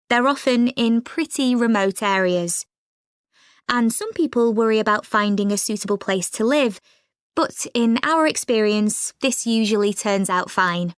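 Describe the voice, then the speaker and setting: A woman playing a professional recruitment agent, giving a clear, measured, scripted informational talk. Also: on the high side, no echo, clean studio sound. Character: professional female recruitment agent presenting job vacancies.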